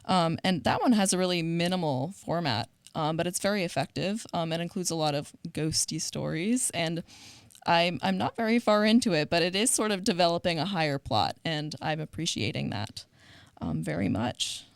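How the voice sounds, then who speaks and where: A woman's voice: on the low side, normal speed, gravelly, clear, resonant tone, no room sound. A woman co-hosting a show in casual discussion, talking in an earnest, enthusiastic way. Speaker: co-host of a media show.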